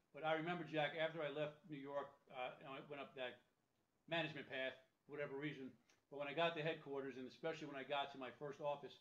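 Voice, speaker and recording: A man hosting an interview, reminiscing energetically with his guest. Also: a little high. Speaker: host. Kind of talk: interview conversation. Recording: dull lo-fi sound in an echoey room.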